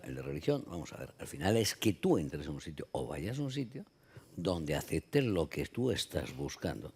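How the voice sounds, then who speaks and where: Man, gravelly fry, husky, emphatic and direct, big pitch swings, unscripted panel debate. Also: a little mellow. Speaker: conference panelist.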